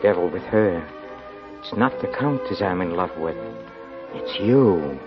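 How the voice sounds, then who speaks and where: Scheming lovestruck young officer, 1940s radio melodrama, deep-ish, muffled sound, music behind. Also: male voice, lively intonation. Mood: ardent. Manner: halting, ardent, melodramatic. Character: intense, scheming young officer wooing a girl. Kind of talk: 1940s radio drama acting.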